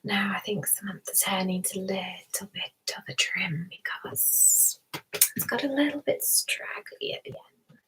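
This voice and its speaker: Woman asmr streamer, whispery n soft, talks real slow, chatting to whoever's listening. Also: medium pitch, lively intonation, low-quality recording. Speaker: ASMR live stream host. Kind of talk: ASMR, soft whispering and soft talk with mouth sounds.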